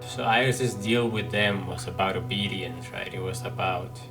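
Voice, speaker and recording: A man hosting a game playthrough, giving thoughtful commentary in a halting way, as if working it out aloud. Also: deep voice, level tone, over music. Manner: halting, thinking aloud with long pauses. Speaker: gaming playthrough host.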